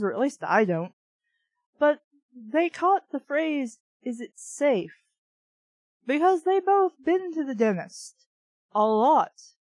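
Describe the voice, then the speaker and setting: A woman with a fairly high voice reads at a very unhurried pace in a dry-sounding recording. She slips between storytelling and exasperated, sweary, sarcastic asides. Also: no echo. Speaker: reader and reviewer of children's books. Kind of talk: audiobook-style reading with sardonic ad-lib commentary.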